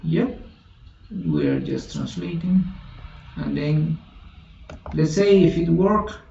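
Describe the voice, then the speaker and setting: A man whose first language is Spanish talks through a software tutorial slowly and haltingly, correcting himself as he goes. His voice is gravelly and a little high. He sounds set back from the microphone in a roomy space, on a dull, lo-fi recording. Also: huge pitch swings, distant mic, a little hiss or hum. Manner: halting, thinks aloud, many restarts and self-corrections.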